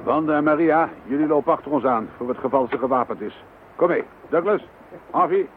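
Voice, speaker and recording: An actor playing a headstrong, insistent chief police inspector in a radio drama. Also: male voice, a little high, brisk, lightly acted. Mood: commanding. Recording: tinny, band-limited, hissy radio play recording.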